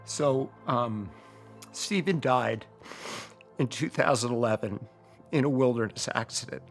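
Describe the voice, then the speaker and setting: A man's voice: mid-range voice, unhurried, soft background music. A middle-aged man speaking reflectively in a documentary interview. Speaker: interviewee, father.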